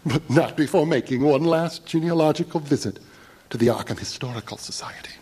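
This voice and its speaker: A man's voice: fairly high pitch, fairly quick, darkish tone, natural voice. An actor playing a haunted traveler who recounts his escape in solemn, intense, literary horror narration for audio theatre. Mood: subdued, grave. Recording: clearly noisy, ambient sound behind voice.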